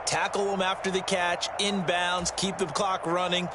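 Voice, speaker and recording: A man's voice: high-pitched, little pitch movement, a little slow. An American man voicing a chatty, opinionated football analyst in a video game, explaining things in a conversational way. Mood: explanatory, assured. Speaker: color commentator, analyst. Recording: loud game noise behind the voice.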